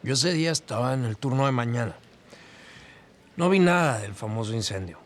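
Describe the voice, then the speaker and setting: A man's voice: mid-range voice, animated, brisk, close to an everyday voice. A man playing a bemused cleaning worker, giving hesitant, matter-of-fact testimony in an audio documentary drama. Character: bemused cleaning worker recalling a strange morning. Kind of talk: casual acted documentary testimony.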